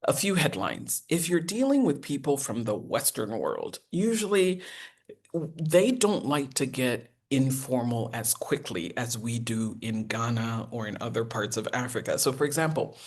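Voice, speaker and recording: A woman, teaching a professional etiquette masterclass in an earnest, encouraging way with deliberate enunciation. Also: very animated, a little mellow, slightly rough. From United States. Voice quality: deep voice. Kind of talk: masterclass lecture.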